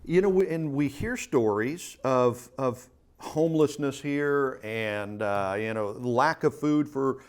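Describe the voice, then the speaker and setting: A man's voice: mid-range voice, unhurried, smooth. Conversational male talk show host. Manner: conversational, leading questions. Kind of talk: community talk show interview.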